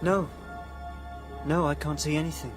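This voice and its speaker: An actor in a radio drama playing an uneasy, hesitant son on a family holiday. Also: male voice, a little high, steady tone, moderate pace, music in the background, close to an everyday voice. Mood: shaken.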